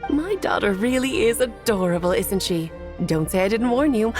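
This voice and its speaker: A woman's voice: mid-range voice, lively intonation, moderate pace, a little mellow, soft background music, lightly acted. A woman playing a whimsical, doting witch mother in a video game, teasing and cutesy. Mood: doting, pleased. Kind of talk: video game cutscene acting.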